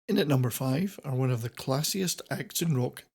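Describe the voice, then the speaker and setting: A man's voice: mid-range voice, moderate pace, vocal fry, a little mellow. A man hosting a semi-scripted countdown commentary on classic rock, with wordy, playfully florid presenter phrasing. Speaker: host of a classic rock video channel.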